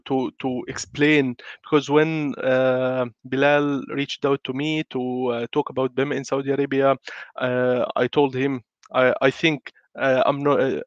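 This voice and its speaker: A man speaks with a congested, flu-thickened voice, pausing often on fillers and restarting his sentences as he presents. The audio is dry and slightly muffled, like a lo-fi call recording. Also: medium pitch, no room sound. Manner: hesitant, many fillers and restarts. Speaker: guest speaker on building information modelling. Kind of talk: online webinar presentation.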